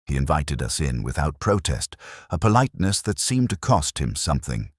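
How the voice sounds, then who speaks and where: Very deep, brooding male detective narrator. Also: big pitch swings, husky, dry recording, clean studio sound. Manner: measured, brooding, literary. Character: weary, reflective male police detective narrating. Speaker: narrator of a detective audio drama. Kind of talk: scripted first-person mystery narration.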